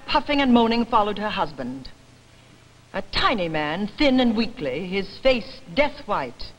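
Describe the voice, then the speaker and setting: A woman giving a deliberate, expressive dramatic reading of a literary story. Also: medium pitch. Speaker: actress giving a staged reading. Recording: noisy old archival reading recording.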